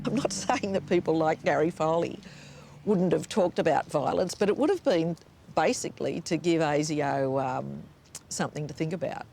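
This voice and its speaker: A man's voice: fairly high pitch, normal speed, creaky, darkish tone, a little hiss or hum. A man talking conversationally in a documentary interview.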